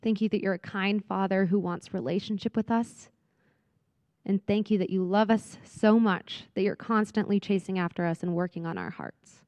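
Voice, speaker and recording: A woman speaker at a church who closes a reflective talk and then leads the room in a soft, earnest prayer. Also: mid-range voice, steady tone, moderate pace, dry recording. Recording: dull, very dry sound.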